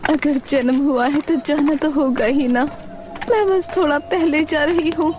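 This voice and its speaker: A woman voicing a gravely ill wife in a moral story, gentle, resigned and respectfully pleading. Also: high-pitched, unhurried, noisy background.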